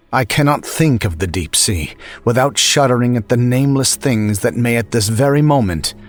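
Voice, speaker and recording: A man with a fairly low voice reads a horror tale aloud in a theatrical, brooding way, weighing each phrase. Soft music plays quietly underneath him. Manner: theatrical, measured, brooding delivery. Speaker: audiobook reader. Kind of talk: dramatic horror story reading. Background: faint underscore music.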